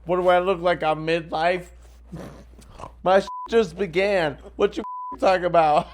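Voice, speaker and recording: A woman's voice: fairly low pitch, fairly even intonation, a little slow. A woman in her forties chatting casually as a podcast co-host, blunt and cheeky. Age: 40s. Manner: blunt, cheeky, swears freely. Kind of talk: casual chat.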